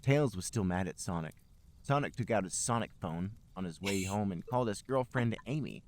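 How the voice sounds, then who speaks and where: A man's voice: lowish voice, expressive, moderate pace, slight background noise. A man co-hosting a podcast, narrating amateur fan fiction aloud.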